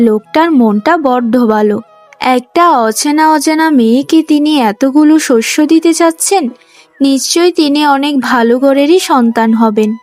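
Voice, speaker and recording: A woman's voice: high voice, moderate pace, a little mellow, no room sound, faint music behind, close to an everyday voice. A woman voicing an earnest, polite young boatwoman from a poor fishing family in a cartoon. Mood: admiring. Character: earnest, gentle young village boatwoman, poor fisherman's daughter. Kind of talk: cartoon story dialogue.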